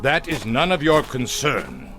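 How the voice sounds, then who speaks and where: An actor playing an evasive, agitated former SS captain in a vintage television drama, halting and blustering as he defends himself. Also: mid-range voice, wildly swooping pitch, over music. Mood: defensive, nervous.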